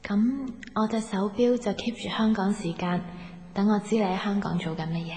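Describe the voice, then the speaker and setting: An actress playing a pensive young woman torn in love, speaking reflectively and softly in a radio drama. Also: medium pitch, fairly even intonation, moderate pace, close to an everyday voice. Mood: tender.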